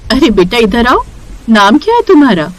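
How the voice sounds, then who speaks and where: Woman playing caring stall-keeper mother, lively pitch. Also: moderate pace. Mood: kind. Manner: caring, reassuring. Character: kind, hardworking mother running a samosa stall. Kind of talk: animated moral story dialogue.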